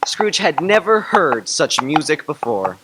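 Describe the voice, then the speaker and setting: A woman narrating a radio drama in a measured storytelling manner. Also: sits low, big pitch swings, noisy background. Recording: loud clicking and chopping sounds.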